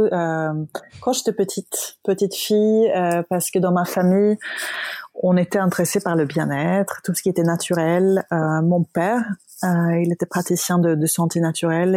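Woman, light Swedish accent, slightly low mellow voice, hesitant phrasing, conversational interview guest. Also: no room sound. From Sweden. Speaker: podcast guest, naturopath and yoga practitioner.

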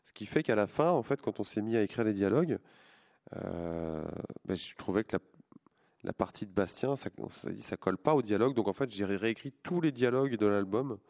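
Comics artist guy in his 40s, lowish voice, keeps stumbling and restarting, thoughtful interview talk. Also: expressive, brisk, a little mellow. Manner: hesitant, many restarts and fillers. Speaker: comic book artist and author. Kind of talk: interview answers.

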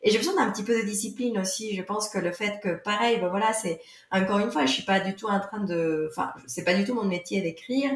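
A woman's voice: medium pitch, fairly quick. Hesitant woman in an echoey room. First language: not French. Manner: hesitant, many fillers and restarts. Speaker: guest author. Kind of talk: podcast interview.

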